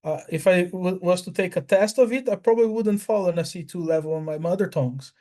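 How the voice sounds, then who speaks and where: High-voiced man with an Italian accent. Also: a little mellow. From Brazil. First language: Italian and Portuguese. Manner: halting, repetitive, blunt with swearing. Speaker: language learner. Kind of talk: casual chat.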